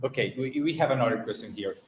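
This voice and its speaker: This is a man moderating a Q&A session in a roomy lecture hall, with a faint hum behind him. He is polite and hesitant, and he fills his pauses as he passes questions along. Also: mid-range voice, animated. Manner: hesitant, many fillers, polite. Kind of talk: hosting a Q&A session.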